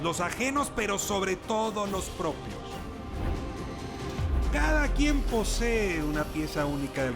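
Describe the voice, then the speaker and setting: A man reading a scripted show introduction in a deliberate, theatrical manner. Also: fairly high pitch. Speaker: show narrator. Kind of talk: scripted, aphoristic intro narration. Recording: loud music under narration. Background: music.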